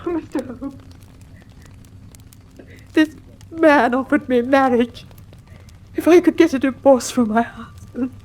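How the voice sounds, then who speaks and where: A woman's voice: sits high, slow, long pauses, a little mellow. A woman playing a proud, shaken, wronged wife in a radio drama, dramatic and intense. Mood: shaken, bitter. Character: wronged, emotional married lady, proud and shaken.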